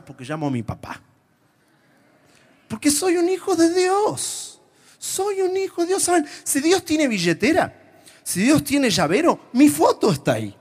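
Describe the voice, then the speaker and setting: Animated Argentine preacher, high voice. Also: male voice. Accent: Argentine Spanish. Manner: humorous, animated storytelling, shifts to whispers. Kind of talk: sermon with personal stories. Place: church before a live congregation.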